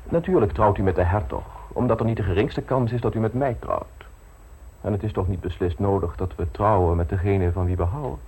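An actor playing a suave, ambitious gentleman politician in an old radio play, polished, self-assured and teasingly frank. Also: on the low side, fairly quick, a little hiss or hum, close to an everyday voice, rough recording. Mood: teasing, ardent.

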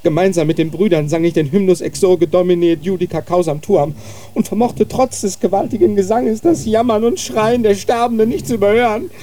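A man's voice: high voice, brisk, natural voice. A man playing an anguished young monk. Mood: tormented. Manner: impassioned, pleading, swings from quiet to outcry. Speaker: radio drama actor. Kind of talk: radio drama acting.

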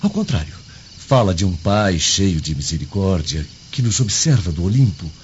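An actor in a radio drama playing an earnest Roman guardian who reports in a measured, declamatory way. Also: male voice, sits low, lively intonation, darkish tone. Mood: earnest, intrigued. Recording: dull lo-fi audio with faint noise.

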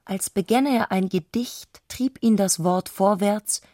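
A woman reading literary scripted narration as a radio drama narrator, measured and composed. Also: medium pitch, warm tone, dry recording, studio quality.

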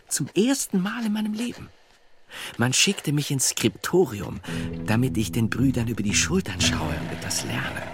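A solemn, slow-speaking medieval monk. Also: male voice, medium pitch. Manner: deliberate, solemn, rapt storytelling. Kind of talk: German radio drama acting, literary monologue. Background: music.

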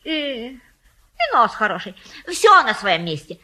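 A garrulous old matchmaker woman in a theatrical comedy. Her voice is high and sharp, with big melodic swings. She coaxes and boasts in a folksy, effusive way. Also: very animated, slow, full character voice. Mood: effusive selling. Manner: folksy, garrulous, effusive, sing-song coaxing. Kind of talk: theatrical stage-comedy acting of a classic play.

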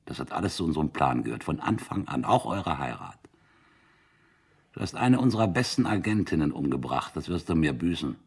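An actor playing a ruthless, impatient secret-police captain in a German radio drama, speaking in a harsh, threatening, commanding way with a husky voice. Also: male voice, extremely low pitch, big pitch swings, brisk, exaggerated voice. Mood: gloating, menacing.

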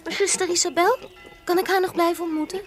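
Young convent girl, period audio drama: very high voice, polite, inquisitive. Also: steady tone, fast, soft background music. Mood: eager, anxious.

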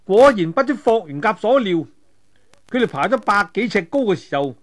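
Animated high-pitched male storyteller. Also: moderate pace. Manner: colloquial, animated, with spoken sound effects. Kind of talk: traditional serialised storytelling narration of a martial-arts tale.

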